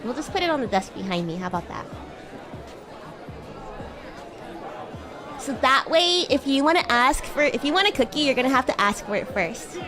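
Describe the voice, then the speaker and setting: A woman's voice: high voice, big pitch swings, quick pace, over music, a crowd in the background. A woman voicing a bratty, flustered young female demon classmate in improvised livestream roleplay, teasing and stammering as she acts haughty and defensive. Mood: bossy, teasing. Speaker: VTuber streamer.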